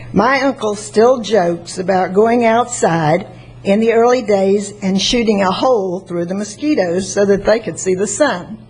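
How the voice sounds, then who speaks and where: A woman in her sixties from Florida with a soft voice, reading a prepared statement at a public hearing. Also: mid-range voice, husky, low-quality recording. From Florida, United States. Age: 60s. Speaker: member of the public giving testimony. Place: public meeting chamber at a podium microphone. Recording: faint background noise.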